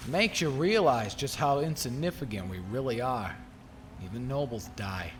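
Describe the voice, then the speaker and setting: A man's voice: medium pitch, animated, moderate pace, slight background noise, lightly acted. A man playing a weary, reluctant young henchman, muttering and brooding in an audio drama.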